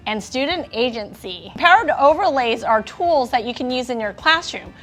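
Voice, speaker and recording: A woman with a high voice presents in an upbeat, scripted manner, addressing viewers directly. Faint music plays behind her. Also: a bit bright.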